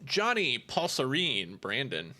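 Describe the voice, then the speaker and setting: A man's voice: mid-range voice, huge pitch swings, slow, pushed and bright. A man hosting a gaming podcast in a laid-back chatty way, laughing easily. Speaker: podcast host. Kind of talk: casual chat.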